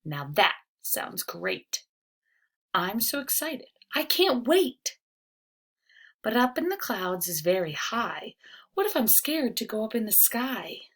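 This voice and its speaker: A woman's voice: a little high, very animated, takes it slowly, exaggerated voice. A woman voicing an unsure, eager little boy who wonders and questions in a storybook read-aloud. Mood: excited, doubtful.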